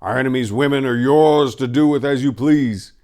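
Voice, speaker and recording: A commanding fantasy warlord, acted by a man reading a story proclaims to his lords. The voice is loud and pushed up high, with grand, proud, declamatory delivery. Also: moderate pace, close to an everyday voice. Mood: triumphant.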